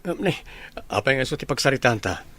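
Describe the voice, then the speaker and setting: A man's voice: medium pitch, sing-song, brisk, sharp tone, slightly rough, full character voice. A man acting an easygoing homeowner in a radio drama, with an Ilocano accent. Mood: casual. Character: easygoing man, the owner of a house. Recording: noticeable background noise.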